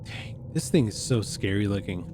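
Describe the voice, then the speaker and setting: A man's voice: on the low side, animated, moderate pace, background noise. A man hosting a reaction video, reading text aloud and adding informal, incredulous asides. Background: music.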